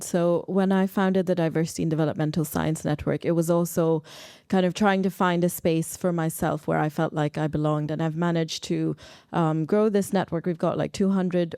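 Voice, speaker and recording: A woman with a mellow, smooth voice speaks as a researcher on a live panel. She is measured and pauses as she thinks. The sound is close and dry. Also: on the low side, no echo. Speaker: panelist, researcher and founder of a science network. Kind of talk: spoken panel advice at a live event.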